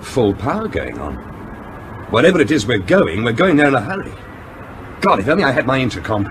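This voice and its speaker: An actor playing a tense, inquisitive naval officer in a radio drama, with an urgent, questioning manner. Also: male voice, medium pitch, very animated, fairly quick, a bit bright, a little hiss or hum. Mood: tense, probing. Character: tense, inquisitive male submarine officer confined aboard.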